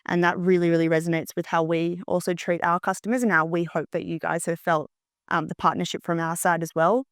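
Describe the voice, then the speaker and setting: A woman's voice: fairly low pitch, level tone, a little fast, no room sound, studio quality. A woman in her thirties answering conversationally as a panel guest, peppering her speech with "like". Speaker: panel guest, tech company partner representative. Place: indoor studio, seated on a sofa. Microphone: clip-on lapel.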